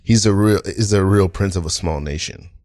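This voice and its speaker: A chill, earnest student delegate acted by a man in improv comedy rambles supportively and slangily. The voice is on the low side, with a very dry, close sound. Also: moderate pace, a little mellow, no room sound, natural voice.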